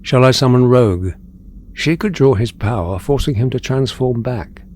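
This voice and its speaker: A man acting a dignified older mutant leader in an audio drama, speaking formally and deliberately with calm authority. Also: mid-range voice, a little hiss or hum, lightly acted. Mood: grave, composed.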